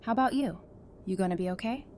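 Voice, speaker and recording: A woman playing a caring, gently teasing young survivor in an audio drama. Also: mid-range voice, fast, close to an everyday voice. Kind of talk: fan audio drama acting.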